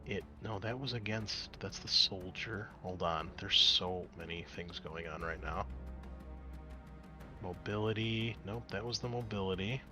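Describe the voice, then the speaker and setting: A man narrating and refereeing a tabletop roleplaying game as game master, in an informal, excitable way. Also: low voice, measured pace, over music.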